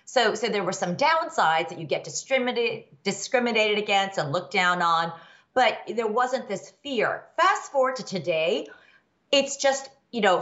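A woman's voice: mid-range voice, a bit bright, highs cut off. A woman in her fifties from the American Midwest, answering interview questions candidly with many fillers and restarts. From United States, Midwest. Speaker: guest expert, law professor.

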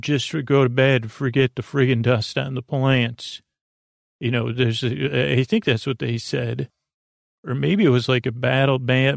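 A man with a creaky voice hosting a bedtime podcast, meandering hesitantly, mumbling and trailing off in a goofy way. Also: medium pitch, no room sound, studio quality. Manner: silly, goofy. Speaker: podcast host. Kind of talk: rambling bedtime podcast monologue.

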